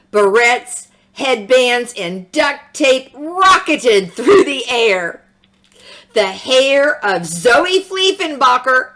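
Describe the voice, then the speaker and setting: A woman reading a picture book aloud as a children's story, with dramatic, expressive delivery. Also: a little high. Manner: slow, dramatic, animated storytelling with sound words.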